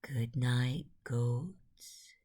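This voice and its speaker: A woman narrating a guided bedtime story in a hushed, soothing way, with long pauses and a breathy, near-whispering voice. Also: a bass voice.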